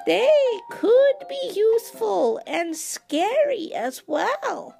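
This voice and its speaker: Woman putting on a screechy, high-pitched wicked old witch. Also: sing-song, very slow, creaky, warm tone, soft background music, exaggerated voice. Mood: scheming, frazzled. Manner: imperious, snapping, dramatic. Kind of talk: storybook character voice.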